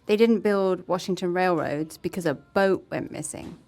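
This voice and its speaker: A woman's voice: on the low side, moderate pace, darkish tone, faint music behind, close to an everyday voice. A woman playing a curious, candid podcast reporter in an audio drama, conversational and dryly observant. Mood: probing. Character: curious, candid female podcast reporter narrating her investigation. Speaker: reporter and narrator. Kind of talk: scripted first-person podcast narration.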